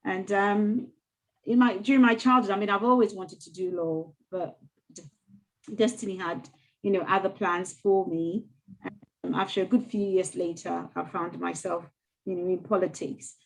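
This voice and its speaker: Soft-spoken woman, halting speech. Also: medium pitch, steady tone, gravelly, rough recording. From Ghana. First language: not English. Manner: soft, halting, with many fillers and restarts. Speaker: mayor, interview guest. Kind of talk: casual interview conversation.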